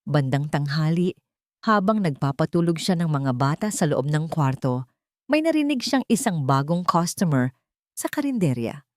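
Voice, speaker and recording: A woman tells a dramatic scripted story with suspense and emotion. Her voice sits a little low and moves widely in pitch. The recording is dry and close. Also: sing-song, moderate pace, dry recording. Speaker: story narrator.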